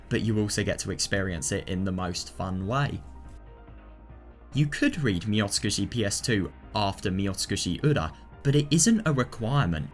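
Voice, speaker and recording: A man presenting a video guide in a scripted, methodical, instructional way. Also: fairly low pitch. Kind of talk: scripted explanatory narration. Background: background music.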